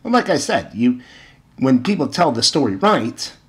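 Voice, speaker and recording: A man's voice: mid-range voice, sing-song, moderate pace. Man giving exasperated, informal commentary. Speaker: video commentator. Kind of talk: informal opinion commentary with story retelling.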